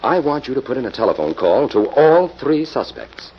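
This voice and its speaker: Earnest radio detective hero, mid voice, expressive, muffled noisy old recording. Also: male voice, mid-range voice, normal speed. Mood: resolute. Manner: earnest, decisive, explanatory. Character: decisive, methodical male crime-fighting investigator hero. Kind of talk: 1940s radio acting.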